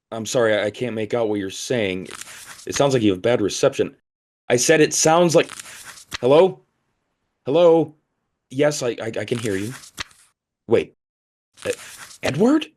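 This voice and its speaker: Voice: medium pitch, gravelly, a little mellow, a little hiss or hum. An actor in an audio play voicing an anxious, exasperated hotel guest who sputters and hesitates wryly.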